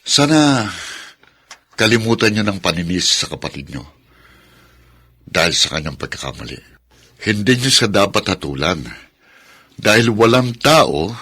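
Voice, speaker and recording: A Filipino actor playing a firm, reasonable cousin in a radio drama, measured and gently admonishing. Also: male voice, deep voice, big pitch swings, breathy edge, heavily acted. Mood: firm, reproving. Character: firm, reasonable male cousin defending a shamed relative.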